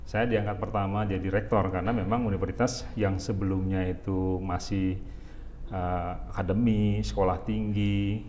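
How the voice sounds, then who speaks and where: A man in his forties from Jakarta, speaking as a guest in an interview in a relaxed, halting way with many fillers. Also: sits low, a little hiss or hum. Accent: Jakarta Indonesian. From Jakarta, Indonesia. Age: 40s. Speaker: university rector, guest. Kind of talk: casual interview talk.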